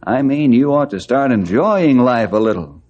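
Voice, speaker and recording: An actor playing a steady, firm head forest ranger in an old-time radio western. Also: male voice, mid-range voice, moderate pace, highs cut off, lightly acted. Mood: earnest. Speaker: ranger leader. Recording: old radio drama recording.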